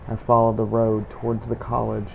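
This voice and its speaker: Low, gravelly, even-toned man narrating. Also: normal speed, highs cut off. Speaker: game roleplay narrator. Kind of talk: scripted first-person story narration.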